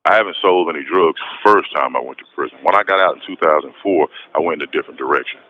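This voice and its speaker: Very deep-voiced American man on muffled phone. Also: fast talker. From west Texas, United States. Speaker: interview guest, prisoner calling in. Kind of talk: casual personal account over the phone. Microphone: telephone call from prison. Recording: muffled noisy prison phone line.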